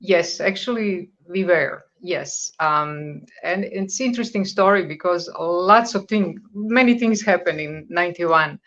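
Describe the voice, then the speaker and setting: A woman's voice: lowish voice, measured pace, hardly any pauses, a bit bright. Croatian-born woman in her fifties, muffled. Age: 50s. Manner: conversational, searching for words, with hesitations. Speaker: guest. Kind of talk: radio interview.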